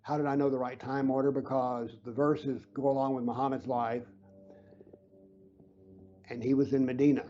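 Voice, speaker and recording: A man being interviewed who explains his views earnestly and emphatically, sometimes dropping to a low whisper to stress a point. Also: little pitch movement, normal speed, pauses often, dull audio, a little hiss or hum. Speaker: interview guest, author.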